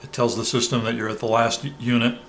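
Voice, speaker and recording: A man with a mid-pitched voice walks through a gadget demonstration step by step. His delivery is halting, with pauses mid-sentence as he works. Noticeable background noise sits behind him. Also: monotone, fairly bright tone. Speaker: product reviewer giving a demonstration. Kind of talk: hands-on tutorial, step-by-step explanation. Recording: clear background noise, room ambience.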